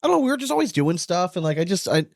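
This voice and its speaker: A man's voice: high voice, big pitch swings, rapid-fire, gravelly, a little mellow, no echo, clean studio sound. A man co-hosting a podcast in casual sports banter, with slangy, profane, bro-ish talk. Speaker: podcast host.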